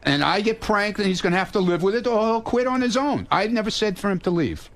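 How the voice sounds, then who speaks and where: A man's voice: sits high, animated, fast talker. A man arguing casually in a studio, stubborn and dismissive, repeating himself. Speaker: show staffer.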